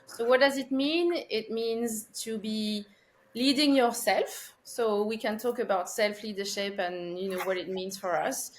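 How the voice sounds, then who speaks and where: French-accented woman, measured guest. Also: medium pitch, a little hiss or hum. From France. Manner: thoughtful, measured, with frequent fillers. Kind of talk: podcast interview.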